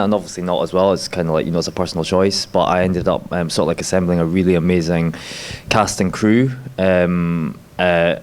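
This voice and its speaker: An actor and filmmaker who grew up in Scotland, rambling with lots of "like" and "you know" at a festival panel. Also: male voice, sits low, darkish tone.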